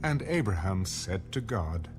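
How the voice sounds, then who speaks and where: A man's voice: deep voice, sing-song, a little slow. A man narrating scripture from a script for a dramatized audio Bible. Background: music.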